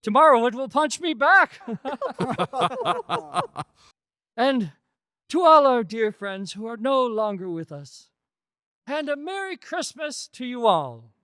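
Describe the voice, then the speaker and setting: A man performs a hearty, festive old merchant for a radio drama. The voice sits very high and leaps around in pitch. He exclaims exuberantly, like a master rallying his household. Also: sing-song, slow, long pauses, bright, forceful tone, no room sound, clean studio sound, heavily acted. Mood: merry, warm.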